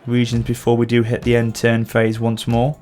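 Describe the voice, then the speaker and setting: A man's voice: medium pitch, monotone, normal speed, darkish tone. A man with a sore throat and occasional cough, casually commenting as he plays a strategy game. He thinks aloud and corrects himself as he goes. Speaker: gameplay channel host.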